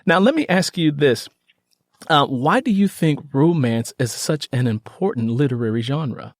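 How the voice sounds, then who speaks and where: A man hosting a conversational interview, talking in a halting, rambling way. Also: on the high side, animated, no room sound, clean studio sound. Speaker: podcast host.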